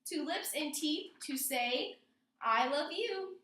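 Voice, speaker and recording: High, bright-voiced woman teaching a class. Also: expressive, slow pace. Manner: instructing, asks prompting questions. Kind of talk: classroom lesson with poem read aloud. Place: indoor classroom. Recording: roomy, echoing classroom sound.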